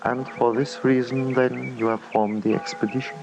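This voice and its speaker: An actor playing a dignified old Tibetan lama in an old radio drama, speaking with stately, formal deliberation. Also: male voice, medium pitch, level tone, normal speed, gravelly, over music, lightly acted. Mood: solemn, questioning. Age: older. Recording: muffled, noisy old radio recording.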